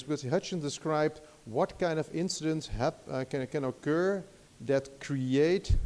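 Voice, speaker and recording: A man's voice: mid-range voice, vocal fry, mellow. A middle-aged Dutch man with a Dutch accent giving an academic lecture in English, hesitant, with many restarts and fillers. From Netherlands. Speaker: guest lecturer.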